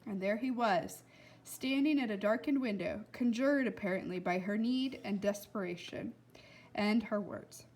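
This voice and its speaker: A woman's voice: mid-range voice, unhurried, a little mellow, poor audio. A woman reading a children's story aloud expressively and putting questions to listeners as a teacher.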